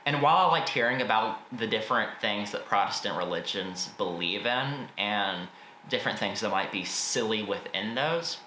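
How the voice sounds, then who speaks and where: Bright-voiced American man in a roomy space. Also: lowish voice. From United States. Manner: informal, opinionated, mild swearing. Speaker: book reviewer and video host. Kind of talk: casual spoken book review to camera. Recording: roomy echo, faint background noise.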